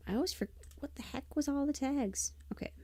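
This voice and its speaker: A woman livestreamer chatting casually and thinking aloud in an unhurried, musing way, often trailing into half-whispers. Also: sits high, mellow, slight background noise. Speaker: audio roleplay performer and livestream host.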